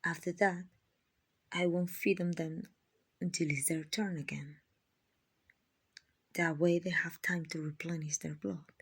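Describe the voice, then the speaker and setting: A gentle vampire mistress acted by a woman speaks in a slow, breathy hush, often close to a whisper. Her voice is on the low side, with plenty of creak. She is tender and reassuring throughout, in the manner of an ASMR roleplay. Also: fairly even intonation. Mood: calm, explaining. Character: kind, gentle female vampire mansion owner, soothing a captive.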